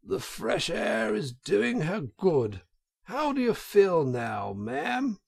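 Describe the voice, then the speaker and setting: A man playing a fussing genteel gentleman. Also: high voice, very animated, slow, creaky, breathy edge, pitched above the performer's own voice, full character voice. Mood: concerned. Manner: solicitous and genteel. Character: kindly, fussing male gentleman motorist. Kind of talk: storybook reading.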